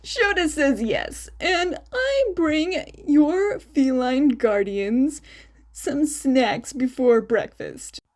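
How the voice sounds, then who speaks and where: An excitable, fond uncle in a storybook reading, acted out by a woman. The voice sits high and swings widely, loud and cheerful, teasing as it goes. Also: extremely slow, full character voice. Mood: bright, announcing.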